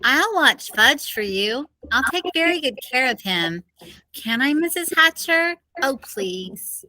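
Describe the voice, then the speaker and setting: A woman's voice: high-pitched, lively intonation, slow, bright, forceful tone, poor audio. A woman reading the characters' lines in a children's story in a theatrical, exclamatory way. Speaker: reader of character dialogue. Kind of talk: acted storybook dialogue for children.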